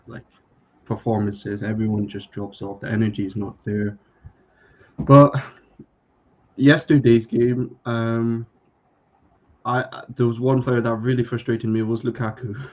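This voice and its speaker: Low-voiced man from Scotland on a dull call line. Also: darkish tone. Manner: frustrated, repeats himself. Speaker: caller on stream panel. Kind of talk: casual chat.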